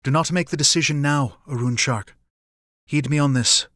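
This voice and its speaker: A stately, protective male companion in an audiobook acted by a man. He is calm and grave, with formal, measured, old-fashioned phrasing. The recording is dry and close. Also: a little high, no room sound, close to an everyday voice. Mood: grave, commanding. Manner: formal, measured, archaic wording. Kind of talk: audiobook character dialogue.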